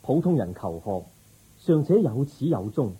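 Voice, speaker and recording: A man playing a calm, resolute young prince seeking enlightenment in a Cantonese radio drama, speaking in an earnest, measured way. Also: mid-range voice, husky, low-quality recording. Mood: firm. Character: resolute young prince turned spiritual seeker, calm, compassionate.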